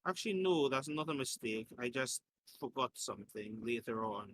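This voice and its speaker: A man's voice: mid-range voice, expressive, moderate pace, background noise. A man reading a story aloud in an informal way, as a host who slips in his own asides and corrections.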